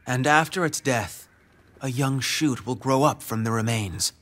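An actor playing a shrewd, composed young strategist in a dramatic video game, speaking in formal, measured phrases. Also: male voice, medium pitch, fairly bright tone, natural voice. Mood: solemn.

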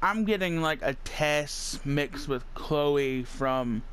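A man giving relaxed, casual commentary as he plays a video game. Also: on the high side, takes it slowly. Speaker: let's player. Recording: faint game noise behind voice. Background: video game audio.

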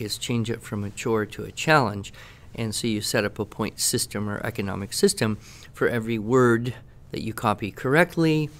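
A man giving conversational expert advice on a podcast, explaining in measured phrases with pauses mid-sentence. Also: lowish voice, a bit bright. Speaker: education expert answering questions.

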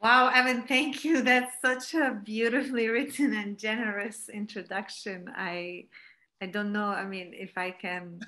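Guest speaker, woman in her 40s, from Palestine, unhurried and reflective, bright voice, laptop video call. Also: medium pitch, fairly even intonation. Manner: reflective, many fillers and restarts. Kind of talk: online talk. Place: home room, indoors. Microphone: laptop webcam video call.

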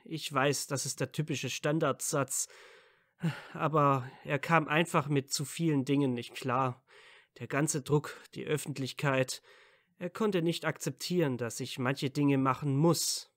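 An actor playing an earnest, playful young wizard hero in a fan fiction audio drama, sincere and bantering. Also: male voice, on the high side, fairly even intonation, a little slow, a bit bright, no echo, clean studio sound. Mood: earnest, thoughtful.

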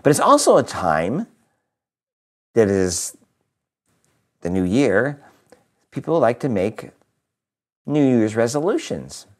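A priest in his fifties speaks close to his camera in a home living room, his voice sitting a bit low and still slightly nasal from a lingering cold. He talks in a loose, upbeat, conversational way, with fillers and little restarts. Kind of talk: weekly video reflection. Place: living room with a Christmas tree, indoors.

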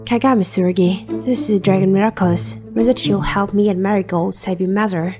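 A woman's voice: medium pitch, fairly even intonation, fairly quick, over music, natural voice. A woman voicing an earnest, level-headed teenage superheroine in a comic dub, with a practical manner. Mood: solemn.

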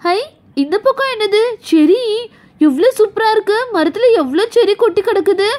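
Eager, exclaiming young girl for a children's toy tale, extremely high pitch, played by a woman. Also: lively intonation, moderate pace, darkish tone. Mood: cheerful. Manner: exclaiming, chatty. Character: cheerful, eager little girl playing with friends. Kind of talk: children's toy play story.

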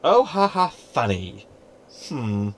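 A man's voice: mid-range voice, sing-song, takes it slowly, fairly bright tone. A man playing a boastful, theatrical hologram with a god complex in a sci-fi comedy audio drama. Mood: dazed. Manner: pompous, theatrical. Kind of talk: science fiction comedy audio drama acting.

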